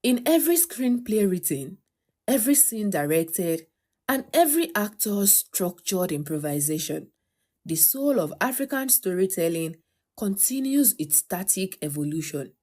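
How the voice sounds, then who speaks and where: A woman narrating a scripted documentary in a measured, articulate way. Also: mid-range voice, very animated, dark tone.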